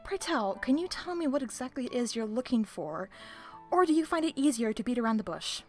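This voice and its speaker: A woman's voice: a little high, lively intonation, brisk, faint music behind. A woman playing a sly, self-assured librarian with arch, playful, theatrical phrasing in an audio roleplay. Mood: playful curiosity.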